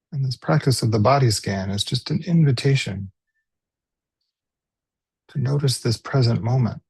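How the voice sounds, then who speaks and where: A man leading a guided body scan meditation as a teacher, speaking gently and unhurriedly with pauses. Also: mid-range voice, slightly rough, no room sound, rough recording.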